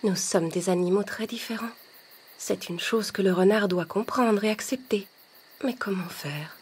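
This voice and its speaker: A woman voicing a polite, patient female stork in a children's animated fable, speaking softly with gentle reproach. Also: medium pitch, moderate pace, slightly rough. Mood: hurt, subdued.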